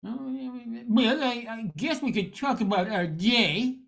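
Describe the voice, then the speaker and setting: An older woman playing a confused, gentle middle-aged man in an amateur radio play, with a halting, stammering delivery. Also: on the high side, vocal fry. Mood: confused, hesitant. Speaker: amateur radio drama actor.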